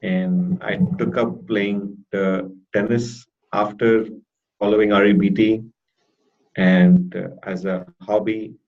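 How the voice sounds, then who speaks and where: A man with a stutter giving a halting, deliberate personal testimonial as a therapy client in an interview. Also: fairly low pitch, level tone. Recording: dull lo-fi audio.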